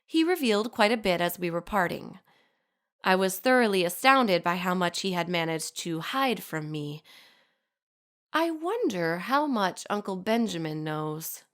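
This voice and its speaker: A woman's voice: fairly low pitch, sing-song, unhurried, fairly bright tone. A woman playing a poised, sardonic society lady in an audio drama, narrating a letter with precise, formal, archly wry diction. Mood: coolly pointed. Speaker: actor in a fiction podcast. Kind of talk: scripted audio drama monologue, letter read aloud.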